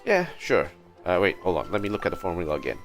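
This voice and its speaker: A man's voice: low voice, huge pitch swings, quick pace, soft background music. A man doing casual live commentary on a video game, sometimes reading out text from the screen. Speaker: game livestreamer.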